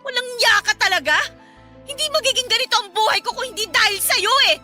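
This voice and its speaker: A woman's voice: extremely high pitch, animated, fast talker, pushed and bright, soft background music, heavily acted. An actress playing a desperate young single mother in an emotional radio drama, pleading with and accusing her former lover. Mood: furious, hurt. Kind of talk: Tagalog radio drama acting.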